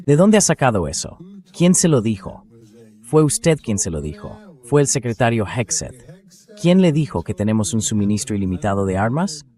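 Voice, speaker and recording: Man, low voice, talk show host, political commentary, emphatic, sceptical, pointed questions. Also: very animated, moderate pace, mellow.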